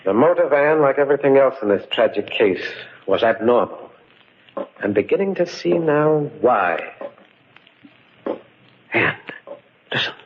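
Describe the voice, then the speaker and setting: An actor playing a shrewd, steady detective in an old radio mystery, speaking with deliberate drama. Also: male voice, mid-range voice. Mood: grave, pondering. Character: shrewd, steady male sleuth explaining the crime. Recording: muffled, noisy old radio recording.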